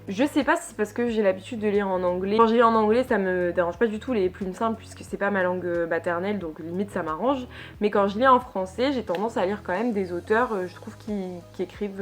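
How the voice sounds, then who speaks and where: A young woman from northern France giving a casual book review in a chatty, hesitant way, full of fillers and restarts. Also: mid-range voice. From Lille, northern France. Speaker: book vlogger.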